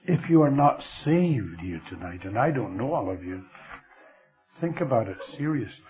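Older Scottish man, preacher, sermon, lively swooping delivery, thin phone-like radio broadcast. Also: mid-range voice. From Scotland. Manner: slow, lively delivery with dramatic drops to near whisper.

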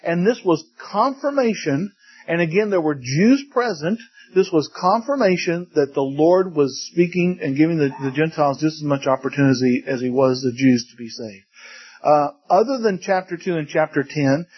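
A man's voice: a little high, expressive, normal speed, breathy edge. A man preaching a conversational teaching sermon to a congregation, often asking them questions and joking in passing. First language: English. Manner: conversational, asks the audience questions, jokes in passing. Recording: dull lo-fi recording.

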